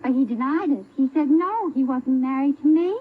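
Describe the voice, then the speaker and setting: Young female radio contestant from Canada. High pitch, giggly storyteller. Narrow, phone-like, noisy vintage broadcast sound. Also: normal speed. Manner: giggly, retells with quoted dialogue. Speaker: stunt contestant. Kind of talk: interview answers on old-time radio show.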